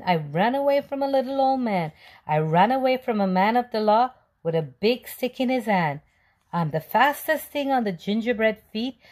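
Woman putting on a high, cheeky little gingerbread man. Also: a little mellow. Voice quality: odd little voice. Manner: sing-song chanting, taunting.

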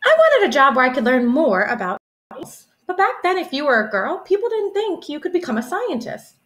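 A young woman reads a storybook aloud for children. Her voice is fairly high and very animated, rising and falling expressively as she tells the story in a teacherly, deliberate way. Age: young adult. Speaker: elementary school teacher reading aloud. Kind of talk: expressive children's picture-book read-aloud. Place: small room at home, indoors.

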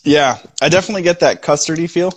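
A man's voice: a little high, quick pace. A man in his thirties, co-host of a whiskey review show, chatting casually and enthusiastically about a bourbon on a phone video call from outdoors. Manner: relaxed, enthusiastic about flavors. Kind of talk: casual tasting chat. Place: outdoors in a backyard.

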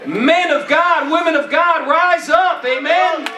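A middle-aged male pastor preaches with a very high, forceful voice. He exhorts in plain colloquial phrases, repeating them for emphasis and checking in with "amen?". The sound is distant and echoey, from a church stage. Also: slow pace, some chatter behind. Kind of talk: sermon.

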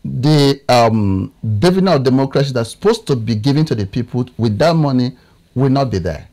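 A man in his thirties with a Nigerian English accent, a political analyst talking in a TV studio, often pausing to search for words. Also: mid-range voice, lively intonation, mellow. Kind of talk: studio discussion.

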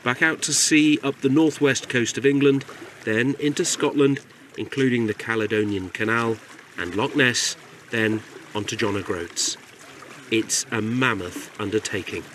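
A man reading a scripted news report voice-over in a measured, clear way. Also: mid-range voice, fairly bright tone, a little hiss or hum.

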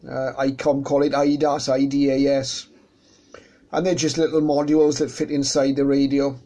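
A man's voice: mid-range voice, little pitch movement, normal speed, warm tone. A man talking casually as an amateur radio operator, explaining things in a conversational way. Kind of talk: casual radio contact chat. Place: indoors beside radio equipment.

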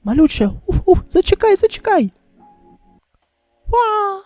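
A woman voicing a frightened, excitable young survivor fleeing monsters in an amateur manga dub. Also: very high voice, a little slow, no echo, soft background music, voice pushed up, full character voice. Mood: panicked. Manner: urgent, excitable, exclaiming.

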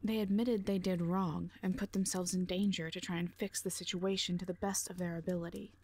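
A woman's voice: fairly low pitch, fairly even intonation, fairly quick, a little mellow, slightly rough. A woman playing a brash, defiant mercenary in an audio drama, with a blunt, sardonic manner. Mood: firm, protective. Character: brash, defiant female mercenary, protective and unimpressed.

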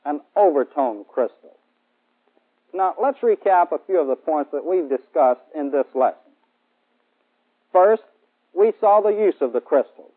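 A man's voice: a little high, expressive, measured pace, long pauses, narrow, tinny audio. An American man in his thirties giving a formal, methodical lecture as a military instructor in a 1960s training film. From United States. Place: indoor studio set. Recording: band-limited old 1960s film soundtrack.

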